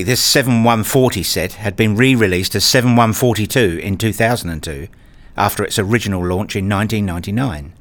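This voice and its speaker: A man with a fairly low voice narrates a radio drama in the first person. His delivery is measured and understated, with a literary feel. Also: fairly bright tone. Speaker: narrator and lead character, a father.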